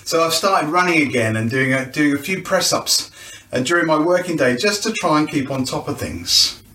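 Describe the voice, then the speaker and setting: Man, slightly high voice, strongly roomy echo, chatty church announcer, informal video delivery. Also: expressive, moderate pace, sharp tone, distant mic, a little hiss or hum. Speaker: church event presenter. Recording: echoey, roomy sound.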